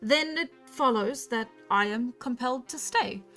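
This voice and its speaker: A woman voicing an earnest, fussy, indignant gentleman traveller in a storybook, formal and exclamatory. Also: a little high, measured pace, faint music behind.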